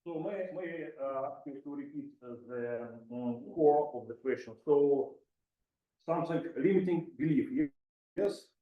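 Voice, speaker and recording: A man's voice: a little high, measured pace, phone-quality sound. A man giving an impromptu speech, hesitant and formal in his phrasing, with many fillers. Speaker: impromptu speaker. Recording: echoey room, voice from far off.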